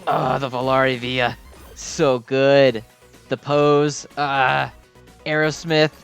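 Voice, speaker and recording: A man's voice: medium pitch, little pitch movement, very slow, creaky, a bit bright, smooth, faint music behind. A man giving casual, unscripted gameplay commentary in a rambling, informal, self-deprecating way. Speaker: gaming video host.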